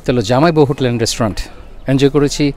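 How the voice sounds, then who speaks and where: A man's voice: medium pitch, racing. A man with a Bangladeshi accent giving a casual, chatty food review as a vlog host, mixing in English words. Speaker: food and travel vlogger, host. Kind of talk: casual restaurant review to camera. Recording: busy roadside traffic and chatter behind.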